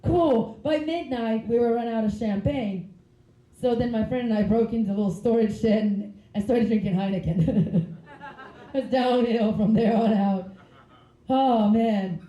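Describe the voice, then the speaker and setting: A woman's voice: a little high, measured pace, reverberant, highs cut off. A woman, doing a rambling, giggly stand-up comedy set on a club stage. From Finland. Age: 30s. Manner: chatty, giggly, rambling asides.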